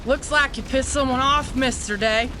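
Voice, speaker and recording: A woman's voice: sits high, normal speed, noisy background, natural voice. Woman acting a brazen, sardonic exorcist woman. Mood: menacing, smug. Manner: folksy, sardonic, drawling phrasing. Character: sassy, brazen woman exorcist, tough and foul-mouthed. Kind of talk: audio drama acting. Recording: music behind the voice.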